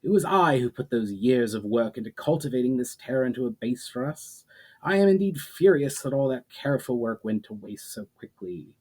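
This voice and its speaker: A man's voice: mid-range voice, expressive, fairly quick, a little mellow, slightly rough. A man voicing a proud, spiteful scheming sorceress who spits out words with venom in a fantasy story reading. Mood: furious, spiteful. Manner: incredulous, spitting out words with spite.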